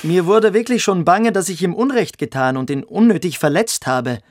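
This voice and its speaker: An actor playing a smug, quietly cruel young boarding-school pupil, telling his story theatrically in a radio play. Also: sits high, expressive, normal speed, background noise. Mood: mocking. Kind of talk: dramatic first-person storytelling in a radio play.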